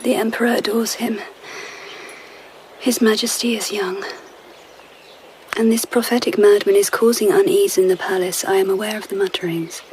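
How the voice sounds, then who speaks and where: Actress as hushed, pensive empress. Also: female voice, medium pitch, steady tone, moderate pace, long pauses, a little hiss or hum, close to an everyday voice, poor audio. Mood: uneasy, decided. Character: pensive Japanese empress, regal, quietly wistful. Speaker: actor in a radio drama. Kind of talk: naturalistic radio drama acting.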